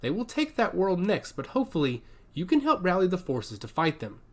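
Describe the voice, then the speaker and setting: A man's voice: high-pitched, huge pitch swings, fairly quick, pitched above the performer's own voice, full character voice. A man playing a solemn, tender older father. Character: older father, gentle, solemn leader fleeing enemies.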